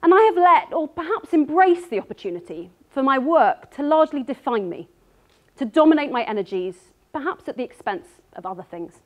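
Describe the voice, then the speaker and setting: Woman giving a measured stage talk, high voice. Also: sing-song. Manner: earnest, measured delivery reading from notes. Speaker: speaker. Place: dark stage before an audience.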